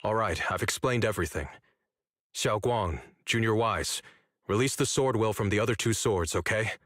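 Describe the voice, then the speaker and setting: A man voicing a determined, protective older-brother swordsman in a video game, measured and formal in his speech. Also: lowish voice, fairly bright tone, no echo, studio quality. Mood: insistent. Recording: game music behind the voice.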